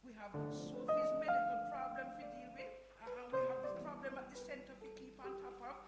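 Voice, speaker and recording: A woman's voice: high-pitched, very animated, a little fast. A woman playing a blunt, exasperated older Jamaican great-grandmother in a family drama, arguing emphatically and reproachfully. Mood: flustered. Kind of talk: live radio soap acting. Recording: roomy hall echo, music behind.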